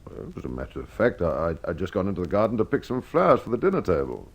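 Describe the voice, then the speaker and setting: A man voicing a glamorous, coolly deceitful wife in a quiet, halting manner for an old-time radio mystery. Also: low-pitched, huge pitch swings, vocal fry, full character voice. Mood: hesitant. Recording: dull lo-fi old radio sound.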